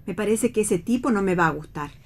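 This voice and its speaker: A woman's voice: medium pitch, animated, quick pace. An actress playing a chatty, inquisitive and naive mother with old-fashioned, homely tastes in a radio play reading. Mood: chatty, curious. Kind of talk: radio read theatre dialogue.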